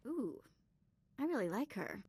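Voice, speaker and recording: A woman's voice: fairly high pitch, sing-song, unhurried, darkish tone, slightly rough, exaggerated voice. An actress playing an eager, devoted young female follower of a Sith in a video game. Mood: delighted. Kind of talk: scripted game dialogue.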